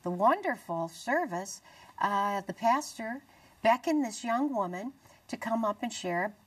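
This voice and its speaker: A middle-aged American woman presenting a religious talk show in an earnest, deliberate way. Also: a little high, animated, husky, recorded from a distance. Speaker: TV show host. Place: small dark TV studio, indoors.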